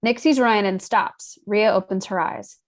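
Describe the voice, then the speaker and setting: A woman narrates screenplay stage directions at a table read. Her voice sits at a medium pitch as she reads the scripted scene descriptions. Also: measured pace.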